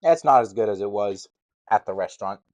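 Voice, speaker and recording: A man's voice: fairly low pitch, lively intonation, a little fast, darkish tone. A man in casual, teasing banter as a livestream co-host, with a jokey, chuckle-prone manner.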